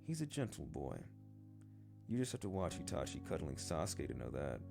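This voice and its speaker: A man reading the part of a gentle, proud mother in a storybook, reassuring in manner. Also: rumbling low, wildly swooping pitch, normal speed, gravelly, music in the background, heavily acted. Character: gentle, proud mother defending her young son.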